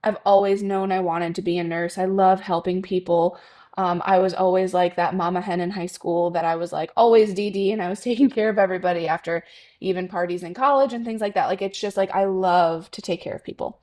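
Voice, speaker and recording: A woman's voice: on the low side, steady tone, brisk, dark tone. A woman from Connecticut who works as a nurse, talking as a podcast guest in a chatty way with many ums and likes. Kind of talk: conversational interview.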